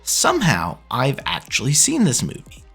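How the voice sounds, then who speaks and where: A man's voice: medium pitch, huge pitch swings, normal speed, gravelly, a bit bright, husky, soft background music. A man in his thirties giving scripted, opinionated commentary in a conversational way, as the host of a review video.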